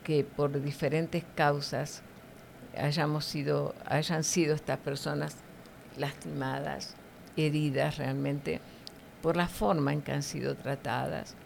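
A woman's voice: sits low, steady tone, takes it slowly, creaky, a little mellow, a little hiss or hum. A middle-aged woman giving devotional teaching in conversation, didactic and often checking for agreement with short tag questions.

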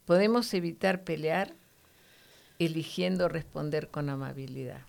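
Low, very creaky-voiced woman. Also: unhurried, darkish tone. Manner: didactic, with short tag questions seeking agreement. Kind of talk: devotional teaching in conversation.

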